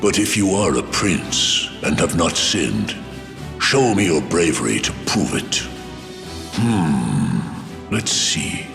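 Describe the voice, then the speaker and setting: A man's voice: rumbling low, big pitch swings, slow, vocal fry, exaggerated voice. A man playing a grim, commanding lord in a fantasy game, speaking solemnly and formally. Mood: stern, testing. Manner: solemn, formal, archaic speech. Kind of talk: fantasy video game voice acting. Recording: echoey, loud music behind.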